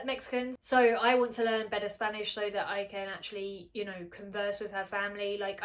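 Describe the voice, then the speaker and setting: A woman with a slightly nasal, congested voice, chatting casually to camera at home, rambling, filling her talk with "like" and correcting herself. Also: mid-range voice. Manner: rambling, with many filler "like"s and self-corrections. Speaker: vlogger. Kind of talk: casual unscripted chat to camera.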